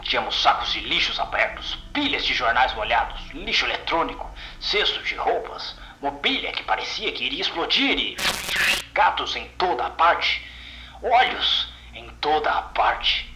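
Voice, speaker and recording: A man's voice: a little high, big pitch swings, moderate pace, pushed and bright, reverberant, slight background noise, exaggerated voice, rough recording. A Brazilian man playing a shaken, bitter containment agent recounting a horrifying mission, intense and haunted, in an audio horror story. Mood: agitated, weary.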